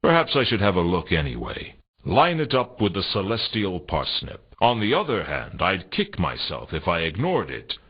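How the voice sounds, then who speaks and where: An actor playing a world-weary, wry noir detective, narrating a game in a deadpan, sardonic way. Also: male voice, deep voice, moderate pace, breathy edge, heavily acted. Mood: wry, brooding. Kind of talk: scripted first-person noir game narration. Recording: dull lo-fi game audio.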